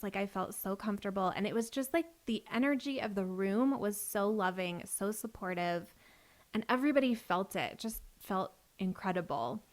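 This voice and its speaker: A woman in her thirties hosting a podcast, talking casually with a guest and using lots of "like" fillers. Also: mid-range voice. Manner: chatty, frequent "like" fillers. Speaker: podcast host and business coach. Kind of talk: casual conversation.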